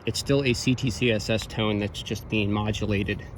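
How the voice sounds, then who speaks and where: A man with a fairly low voice talks through gear in an informal, explanatory review. He speaks haltingly and technically, piecing it together unscripted. Clear traffic and outdoor noise sits behind him. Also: fairly even intonation. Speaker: radio equipment reviewer.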